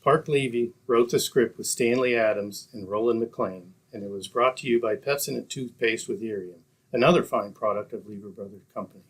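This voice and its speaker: A man's voice: medium pitch, brisk, husky, low-quality recording. A man reading scripted announcements as an old-time radio announcer.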